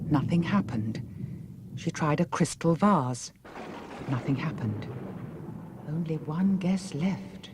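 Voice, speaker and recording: A woman with a British accent narrating a children's audiobook in an expressive, hushed and suspenseful manner. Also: low voice, slightly rough. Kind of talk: dramatic children's story narration.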